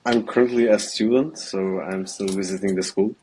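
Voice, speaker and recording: A young man of eighteen with an Austrian German accent and a lowish voice. He answers questions haltingly, full of ums and restarts, speaking over a video call. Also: steady tone, dark tone, a little hiss or hum, low-quality recording. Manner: hesitant, halting, with many ums. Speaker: guest, student trader. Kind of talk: remote interview answers.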